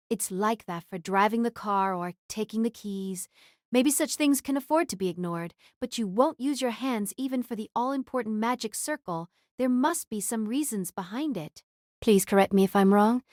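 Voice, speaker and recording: A woman's voice: on the high side, expressive, moderate pace, darkish tone, no room sound, close to an everyday voice. A dutiful female knight, acted by a woman for an audio drama. She is formal, protective and earnest, recorded close and dry with no room sound. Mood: concerned, questioning. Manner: formal, deferential.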